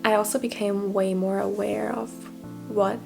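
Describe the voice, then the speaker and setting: A woman's voice: mid-range voice, little pitch movement, moderate pace, gravelly, music in the background. A young woman talking candidly in a casual vlog, reflective and self-deprecating, often laughing at herself. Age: young adult. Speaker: vlogger.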